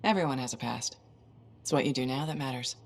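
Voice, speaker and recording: A woman's voice: extremely low pitch, quick pace, creaky, heavily acted. An actress playing a confident, easygoing female freelancer hero in a video game, with a casual, dry manner. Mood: supportive. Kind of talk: video game cutscene acting.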